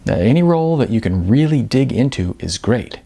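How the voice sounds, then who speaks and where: A man's voice: mid-range voice, big pitch swings, fairly quick, gravelly, warm tone, husky, exaggerated voice. A man voicing a polite, sardonic young aspiring actress in an audiobook, with a dry, wry manner. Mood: dry politeness.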